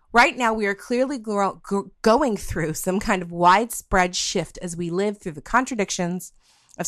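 A woman's voice: mid-range voice, no echo, clean studio sound. A woman podcast host reading a scripted topic piece aloud.

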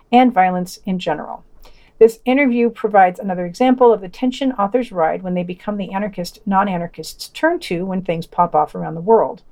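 A woman's voice: medium pitch, darkish tone. A woman presenting a podcast, reading scripted reviews with wry, informal, opinionated asides.

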